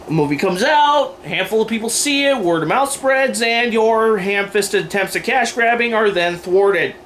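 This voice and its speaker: A man with a notably high voice hosts an opinion video. He delivers scripted commentary with sarcasm and firm emphasis. There is faint room noise behind him. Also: lively intonation, normal speed, fairly bright tone. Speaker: video host. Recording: noisy room recording.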